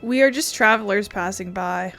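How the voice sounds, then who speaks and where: A woman's voice: mid-range voice, normal speed. A woman doing gameplay commentary and reading dialogue lines aloud. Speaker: let's player reading game dialogue. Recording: game music behind voice.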